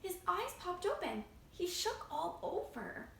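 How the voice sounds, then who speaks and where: A woman reading a picture book aloud to children as a library storytime reader, expressive and engaging them directly. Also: very high-pitched, unhurried, creaky. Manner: expressive, asking young listeners questions. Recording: roomy echo, faint background noise.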